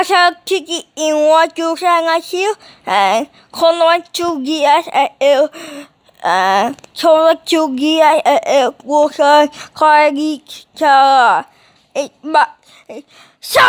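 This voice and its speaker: A woman talking casually in a halting, rambling way, with mumbled phrases, as in an improvised home video. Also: sits high.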